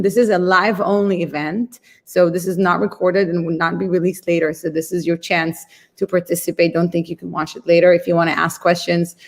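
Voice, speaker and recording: A woman opening a live online event as its founder and host, friendly with frequent ums, on a video call. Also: fairly low pitch. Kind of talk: event welcome remarks.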